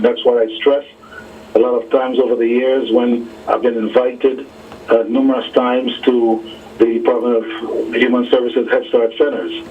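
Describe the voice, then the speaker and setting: A man's voice: mid-range voice, distant mic, slight background noise. Formal man calling in over a phone line. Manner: measured, formal, emphatic repetition. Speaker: caller to a radio talk show. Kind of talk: call-in commentary. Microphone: telephone. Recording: muffled narrow phone line.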